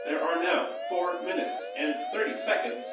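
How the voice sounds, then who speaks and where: A man's voice: sits high, level tone, moderate pace, phone-quality sound, natural voice. A man playing a lab's emergency announcement voice in a radio play, formally repeating urgent alerts and countdowns. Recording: echoey, distant, with crowd noise behind.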